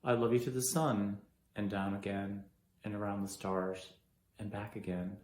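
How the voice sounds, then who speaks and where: A man's voice: on the low side, steady tone, drawn-out words, a little hiss or hum, pitched below the performer's own voice. A man playing a hurt adult daughter pleading with her mother in a stage monologue. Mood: tender, nostalgic. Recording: echoey room.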